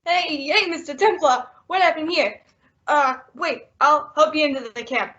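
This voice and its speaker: Woman as a high-pitched, fretful loyal cabbie. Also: normal speed. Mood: concerned. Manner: excitable, folksy. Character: loyal, wisecracking, fretful male cab driver. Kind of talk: old-time radio drama acting. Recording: dull lo-fi audio.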